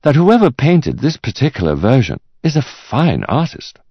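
A man voicing a courteous male art expert in an audiobook, speaking in a formal, deliberate, politely condescending way. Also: mid-range voice, wildly swooping pitch, breathy edge, no echo, muffled recording, pitched above the performer's own voice, heavily acted. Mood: polite condescension. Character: measured, courteous male art expert delivering bad news.

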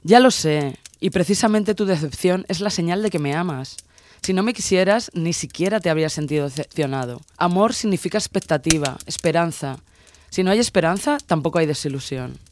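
A man's voice: fairly high pitch, fairly quick, natural voice. A man playing an intense, possessive sailor woman of twenty-six in an audio drama, speaking in an insistent, teasing way. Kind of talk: dramatised audio story dialogue.

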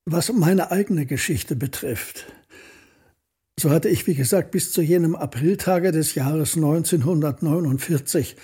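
An actor playing a melancholy, reflective scholar who recounts his life in a measured, formal radio drama monologue, with a husky voice. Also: on the high side, mellow. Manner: measured, contemplative, formal storytelling.

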